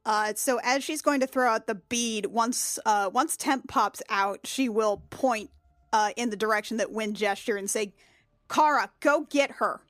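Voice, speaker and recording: A woman's voice: a little high, measured pace, a bit bright, dry recording, studio quality. A woman speaking informally and playfully as a player in a tabletop roleplaying game, describing what her character does. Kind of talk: casual in-game chat, describing character's actions.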